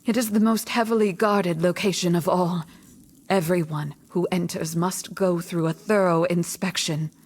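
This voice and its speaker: A solemn female mystic mentor from a fantasy video game voiced by a woman. Her voice is fairly low and breathy, and she speaks in a measured, cryptic way, as one who knows what lies ahead. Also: steady tone, a little mellow, natural voice. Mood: foreboding. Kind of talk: video game cutscene acting.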